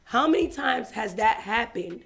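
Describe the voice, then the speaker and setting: A woman's voice: fairly high pitch, lively intonation, unhurried, gravelly, a bit bright, slightly rough, soft background music. Blunt Boston-raised woman co-host. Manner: blunt, passionate, colloquial. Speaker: radio co-host. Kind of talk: morning radio talk show chat.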